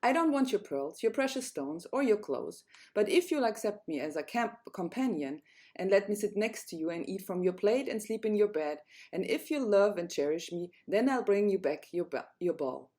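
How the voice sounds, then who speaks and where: A woman's voice: mid-range voice, expressive, normal speed, mellow, close to an everyday voice. A woman voicing an insistent male talking frog in a fairy tale, pleading and demanding. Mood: bargaining.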